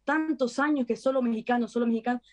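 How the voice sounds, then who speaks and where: An actress with an Argentine accent chatting casually in an interview, full of fillers and lively retellings. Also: female voice, a little high, quick pace. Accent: Argentine Spanish. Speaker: actress guest.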